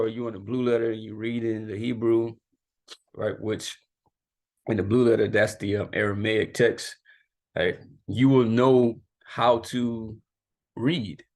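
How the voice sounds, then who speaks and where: A man's voice: medium pitch, fairly even intonation, measured pace, pauses often, darkish tone. A man giving a casual spoken lesson as a youth teacher, talking informally and often tagging "right?" and "you know" onto his sentences. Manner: laughs off his own mistakes.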